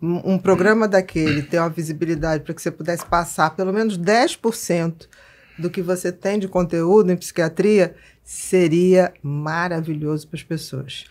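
A woman's voice: on the low side, fairly even intonation, normal speed, darkish tone. A middle-aged woman with a Brazilian accent, chatting casually as a podcast host into a close studio microphone. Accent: Brazilian Portuguese. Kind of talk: casual interview chat. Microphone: desk broadcast microphone up close.

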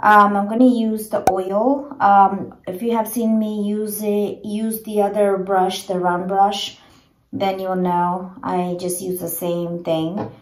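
A woman's voice: medium pitch, little pitch movement, slow pace. Chatty woman in an echoey bedroom. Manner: chatty, filler-heavy, gentle. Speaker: beauty vlogger. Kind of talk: casual hair tutorial. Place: small bedroom or dressing room at home. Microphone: phone camera propped low. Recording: echoey small room.

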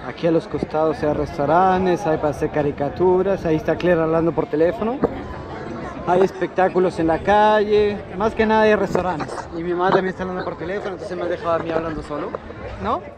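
A young man talks to his handheld camera while walking through the city. His voice sits slightly high, and he keeps the chat light and teasing. A steady crowd murmurs clearly around him outdoors. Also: a little fast. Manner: chatty, teasing, laughs often. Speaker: vlogger. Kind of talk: casual vlog tour. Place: busy outdoor city streets.

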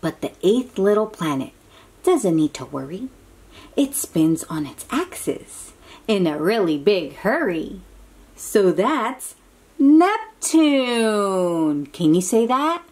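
A woman reads a picture book aloud to young children at a very unhurried pace. Her voice swings expressively up and down as she exclaims and coaxes little listeners with simple questions. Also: medium pitch, sing-song, darkish tone, breathy edge.

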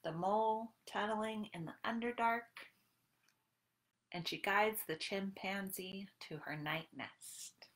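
A woman's voice: medium pitch, slow pace, a bit bright. A woman in her thirties leading a children's storytime in a sing-song, encouraging way, as a librarian talking to small children. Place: small room at home, indoors. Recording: roomy echo on home webcam recording.

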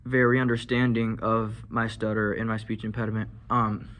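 A young man with a stutter, talking casually in a personal vlog, soft-spoken with many fillers, into a small handheld mic in a classroom. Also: on the low side, level tone, normal speed, dull audio. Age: young adult. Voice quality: stutters, with blocks and repeated sounds. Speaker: vlogger. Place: indoor classroom. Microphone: handheld lavalier mic held near mouth.

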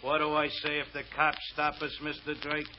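A man's voice: on the high side, monotone, normal speed, close to an everyday voice. A man playing a loyal but suspicious porter in an old radio detective drama, with folksy, ungrammatical working-class speech. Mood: uneasy, willing. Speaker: actor. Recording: dull, noisy old radio recording with clicks.

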